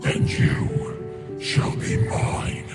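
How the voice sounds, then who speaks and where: A man playing a sinister, possessive god of the underworld, breathy and ominous, in an audio myth. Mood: gloating menace. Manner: slow, ominous, grandiose. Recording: echoing, loud music behind.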